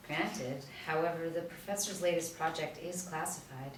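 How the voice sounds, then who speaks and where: Woman as a formal FBI agent, low voice. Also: moderate pace, close to an everyday voice. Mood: formal, dutiful. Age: young adult. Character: female FBI agent, formal, dutiful, questioning. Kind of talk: script table reading. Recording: echoey room, distant mic, background noise.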